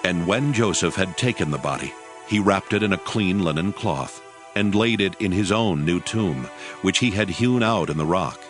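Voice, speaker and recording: A male narrator reads scripture as a solemn, measured dramatized story. His voice is fairly low, and music plays clearly behind it. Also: animated.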